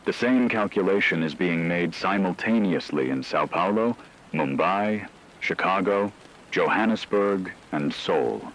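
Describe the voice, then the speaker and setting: A man playing a grave, formal radio news anchor, reading an emergency broadcast in a measured, authoritative way. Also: low voice, animated, a bit bright, exaggerated voice, rough recording. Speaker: news announcer.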